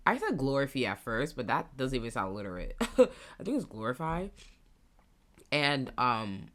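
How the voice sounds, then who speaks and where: A young woman in her twenties with a stuffy nose, hosting a casual solo podcast and thinking out loud as she rambles. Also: a bass voice, sing-song, normal speed. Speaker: podcast host.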